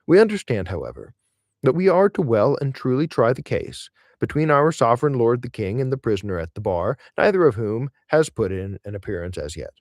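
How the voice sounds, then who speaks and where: A man reading a literary essay aloud in audiobook style, in a measured, expressive way with deliberate emphasis. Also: medium pitch, dry recording, studio quality.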